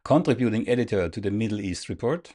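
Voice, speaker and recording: A man's voice: on the low side, lively intonation, quick pace, warm tone. A man hosting a political interview, making an earnest, emphatic appeal to his viewers before questioning his guest. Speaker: interview host. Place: in the Netherlands.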